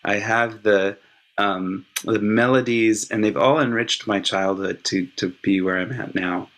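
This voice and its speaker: A man whose first language is Hebrew, speaking as an interview guest with a somewhat low voice. He talks in a reflective, measured way, weighing each thought as he tells his story. The tone is a relaxed, casual conversation. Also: rough recording. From California.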